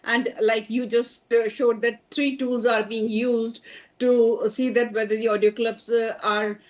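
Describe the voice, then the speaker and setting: A woman with an Indian English accent, a party spokesperson on a debate panel, insistent and listing her points with halting fillers. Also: on the high side, little pitch movement, lo-fi sound.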